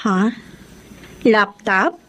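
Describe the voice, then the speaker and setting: A woman's voice: medium pitch, animated, very slow, fairly bright tone, a little hiss or hum. A woman playing a self-sacrificing young breadwinner and older sister in a Tagalog radio drama. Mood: polite, restrained. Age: young adult.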